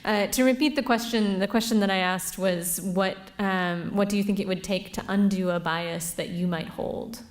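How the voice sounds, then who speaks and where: A woman's voice: mid-range voice, steady tone, moderate pace, few pauses. Affirming woman moderator. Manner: affirming, paraphrases speakers' points back. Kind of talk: facilitating a panel discussion.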